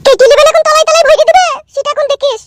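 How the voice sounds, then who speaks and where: Shrill, spiteful village wife. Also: female voice, racing. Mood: sneering. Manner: threatening, scolding, foul-mouthed. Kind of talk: comic cartoon dialogue.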